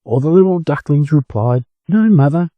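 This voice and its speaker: A man voicing a young, naive, excitable little duckling in a storybook reading. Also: a little high, moderate pace, no room sound, narrow, tinny audio, pitched above the performer's own voice, lightly acted. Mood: obedient.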